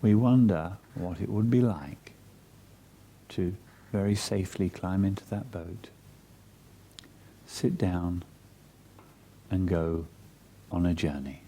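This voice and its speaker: A man leading a guided meditation in a soft, breathy, soothing and measured way, leaving long pauses between phrases. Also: deep voice, slight background noise.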